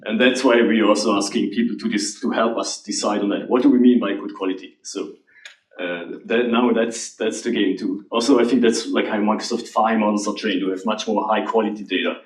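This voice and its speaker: A man from Switzerland, answering audience questions about AI in a halting, restarting way. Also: on the low side, steady tone. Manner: halting, restarts often, laughs lightly. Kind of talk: conference Q&A. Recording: roomy hall, voice from a distance.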